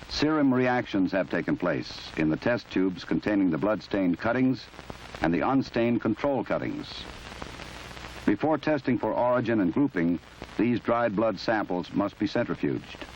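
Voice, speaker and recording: Male narrator, low register, measured and exact instructional read, dated film audio with faint background noise. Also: animated. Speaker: narrator of a forensic training film. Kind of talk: formal scripted documentary narration. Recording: muffled, noisy old 1970s film soundtrack.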